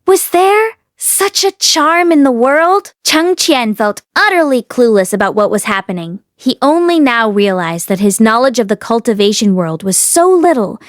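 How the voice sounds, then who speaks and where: A woman's voice: fairly high pitch, sing-song, normal speed, hardly any pauses, no echo, studio quality. A woman reading a fantasy novel aloud as an audiobook narrator. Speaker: audiobook reader. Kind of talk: expressive storybook narration.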